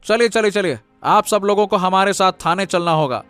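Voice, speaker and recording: Man acting a brusque, high-voiced policeman. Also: normal speed, fairly bright tone, smooth, soft background music. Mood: commanding. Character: brusque, commanding village police officer. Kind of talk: Hindi cartoon moral-story dialogue.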